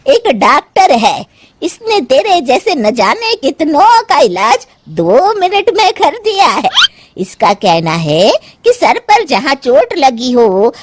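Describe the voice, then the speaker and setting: An exasperated older mother-in-law from a cartoon moral tale, acted by a woman. Her voice is high and swings widely as she scolds and complains theatrically. The sound is close and dry. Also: dry recording, heavily acted. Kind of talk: Hindi cartoon moral story acting.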